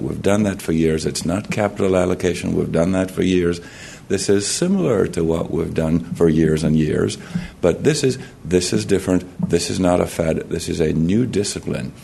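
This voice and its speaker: A man with a Jamaican accent giving a formal talk at a conference podium, measured and halting, often clearing his throat and restarting. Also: deep voice, mellow, slight background noise. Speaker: conference speaker. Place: conference hall.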